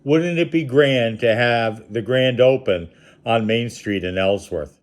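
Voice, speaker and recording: A man from a media company giving a casual spoken testimonial, relaxed, with frequent filler words. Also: medium pitch, measured pace.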